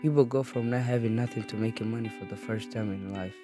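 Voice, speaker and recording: An eighteen-year-old man from Somalia reading his own life story in an earnest, steady way. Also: lowish voice, fast talker, music in the background. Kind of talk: read personal narrative.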